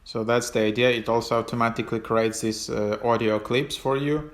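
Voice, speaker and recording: A man giving a casual, unscripted app walkthrough as a tutorial host, in a hesitant, halting way with trailing phrases. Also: medium pitch, steady tone, slight background noise.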